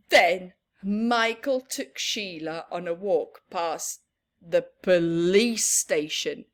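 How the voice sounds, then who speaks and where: A woman reading a picture book aloud to young children, expressive and chatty, adding playful asides. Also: fairly low pitch, extremely slow. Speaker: children's storyteller.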